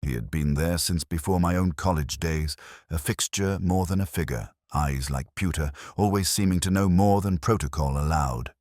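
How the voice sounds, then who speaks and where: A man narrating a first-person detective story in a measured, literary, brooding manner with a husky voice. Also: sits low, a little mellow, no echo, clean studio sound.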